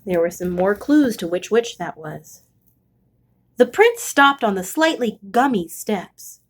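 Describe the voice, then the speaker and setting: A woman reading a children's picture book aloud as an expressive storyteller. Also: medium pitch, measured pace.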